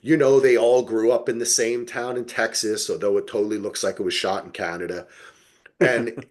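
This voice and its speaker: A man's voice: fairly low pitch, fairly even intonation, moderate pace. A middle-aged man co-hosting a podcast, reviewing television casually with emphatic, playfully exaggerated storytelling. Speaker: podcast co-host.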